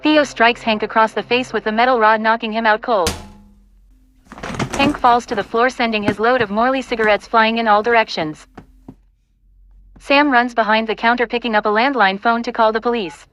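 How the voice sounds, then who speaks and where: A woman's voice: on the high side, steady tone, moderate pace, a bit bright, soft background music. A woman reading scripted stage directions as the narrator of an audio play.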